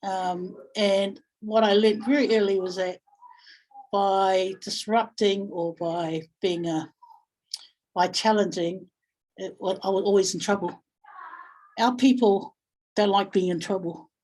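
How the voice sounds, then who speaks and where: Husky, reflective New woman. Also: mid-range voice, fairly even intonation, darkish tone, poor audio. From Samoa or Samoan community in New Zealand. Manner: halting, thinking aloud, repeats phrases. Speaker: guest speaker, family violence practitioner. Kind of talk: reflective webinar talk, storytelling.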